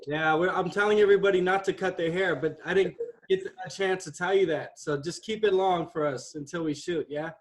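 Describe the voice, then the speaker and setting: A high-voiced man welcoming cast members on a video call from home. His upbeat, friendly chatter keeps things loose and casual. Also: fairly quick.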